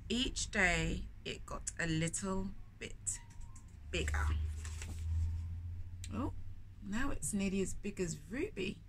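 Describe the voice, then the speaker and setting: Woman, middle-aged, children's storytelling, expressive, chatty asides, medium pitch, noisy bookshop ambience. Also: slow pace, pauses often. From lives in London, England. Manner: expressive, chatty asides and questions to young listeners. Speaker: children's storytime host reading aloud. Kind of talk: read-aloud storytelling for young children. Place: indoors in a bookshop. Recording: clear background noise, shop ambience. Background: background ambience noise.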